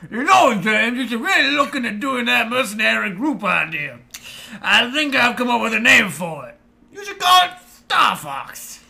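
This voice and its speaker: A man voicing an old male hound general who is hearty, blustering and slipping into confusion, with a raspy, gravelly voice. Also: very animated, moderate pace, bright, forceful tone, heavily acted. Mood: confused. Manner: booming, jovial, drifts into confusion. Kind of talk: fan audio drama reading.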